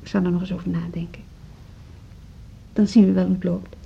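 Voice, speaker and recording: An actress playing a hesitant, apologetic woman in an intimate radio drama, with a soft, husky, halting voice. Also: fairly low pitch, flat delivery, exaggerated voice. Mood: subdued, apologetic. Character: hesitant, apologetic pregnant woman, a music school co-director. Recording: dull lo-fi radio drama recording.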